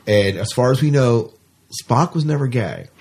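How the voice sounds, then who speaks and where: A man's voice: medium pitch, animated, normal speed, a little mellow. A man chatting casually as a podcast co-host, rambling along and often repeating his words and phrases.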